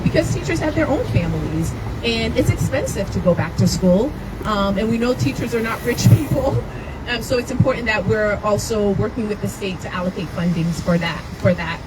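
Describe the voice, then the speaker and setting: A woman whose first language was not English, a mayoral candidate, answering press questions earnestly, with many fillers and restarts. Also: mid-range voice. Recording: loud noise, far from the mic.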